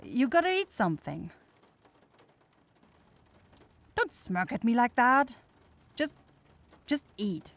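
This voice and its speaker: A woman's voice: fairly high pitch, big pitch swings, measured pace. A woman playing a kind young forest witch in an ASMR fantasy roleplay, shy and caring, easily flustered into stammering. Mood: awkward, friendly.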